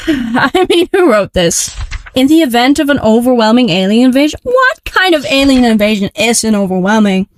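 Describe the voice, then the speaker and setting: A woman's voice: a little high, big pitch swings, normal speed, dry recording. A woman playing a young alien superheroine in an audio drama, sweet, youthful and a little awkward. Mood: amused, casual.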